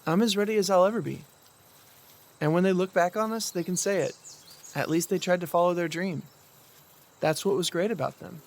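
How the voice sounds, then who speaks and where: Actor as earnest, high-voiced brother. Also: male voice, fairly quick, gravelly, pauses often. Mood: hopeful. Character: earnest, hopeful, grateful adult brother setting out. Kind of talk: naturalistic audio drama dialogue.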